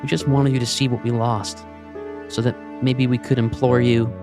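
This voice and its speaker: A man's voice: medium pitch, little pitch movement, normal speed, over music, lightly acted. A man acting in an audio play as a bitter, grieving leader of an armed band of widows. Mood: sincere, pleading.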